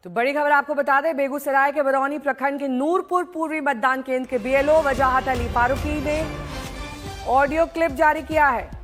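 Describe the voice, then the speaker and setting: High-pitched woman news anchor, music behind. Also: steady tone, normal speed. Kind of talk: TV news presenting. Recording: music bed behind broadcast voice.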